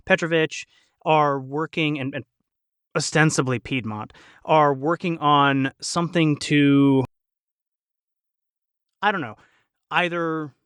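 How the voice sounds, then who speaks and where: A man's voice: fairly high pitch, fairly bright tone, no echo. Guy on a chatty cast podcast, jokes around and theorizes, dry close audio. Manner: jokey, digressive, speculative. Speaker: podcast panel member, cast of an audio drama. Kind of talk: casual panel chat.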